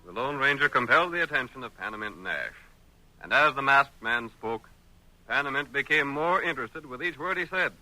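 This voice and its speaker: A man's voice: mid-range voice, lively intonation, brisk, sharp tone, low-quality recording. An American man narrating a Western story as a dramatic storyteller in old-time radio style. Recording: vintage radio drama recording.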